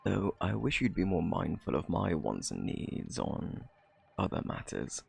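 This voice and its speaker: Male actor as flirty criminal, British accent, very gravelly low voice, smug teasing drawl, ASMR roleplay. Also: very animated, unhurried, darkish tone, exaggerated voice. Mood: playful, smug. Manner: teasing, drawling, theatrically coy.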